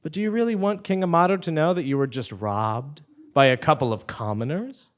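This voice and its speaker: A man's voice: fairly high pitch, brisk, lightly acted. A man playing an exasperated, defiant commoner who leads a scheme, emphatic and incredulous, in a comic stage audio play. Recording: live theatre stage recording.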